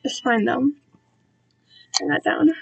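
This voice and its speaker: Wysoki, niespieszny głos kobiety, rozgadanej i autoironicznej. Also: female voice, high voice, takes it slowly, creaky, no room sound, soft background music, low-quality recording. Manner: rambling, self-deprecating, easily distracted. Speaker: streamer reading own fan-written work to viewers. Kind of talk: casual live-stream chat.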